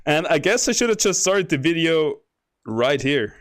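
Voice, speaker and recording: A man streaming a game, talking casually in a soft, fairly monotone voice and rambling from one thought to the next. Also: a little high, moderate pace. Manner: self-described monotone, soft bedroom voice; rambling, chuckles between remarks. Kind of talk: casual gameplay commentary. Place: home.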